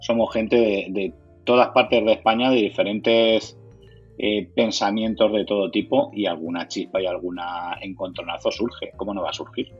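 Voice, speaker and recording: A man's voice: on the low side, fairly even intonation, normal speed, slightly rough, muffled recording, faint music behind. A man from Spain with a Castilian accent, answering interview questions conversationally, sometimes repeating words as he searches for phrasing. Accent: Castilian Spanish. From Madrid, Spain. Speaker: interviewee, board game community organizer.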